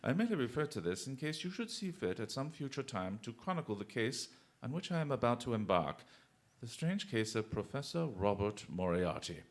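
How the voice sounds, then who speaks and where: Brilliant gentleman sleuth, theatrical radio-play delivery, medium pitch, eloquent, exclamatory, playfully confident. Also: big pitch swings, fairly quick. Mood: grave, eloquent. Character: brilliant, theatrical English gentleman detective, playfully self-assured. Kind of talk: radio drama acting.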